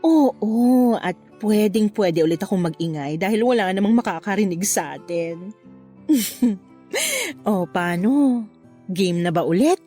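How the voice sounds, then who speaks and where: A woman playing a flirtatious, unapologetic married mother in a Tagalog radio love drama, with a playful, expressive delivery. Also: mid-range voice, measured pace, faint music behind, lightly acted. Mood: flirty, playful. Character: flirtatious married mother having a secret affair, breezily unapologetic.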